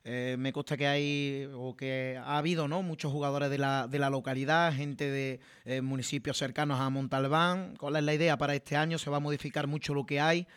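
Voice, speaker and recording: A man's voice: medium pitch, little pitch movement, moderate pace, few pauses, fairly bright tone, smooth, dry recording, studio quality. A man hosting a radio sports interview, chatty and full of fillers.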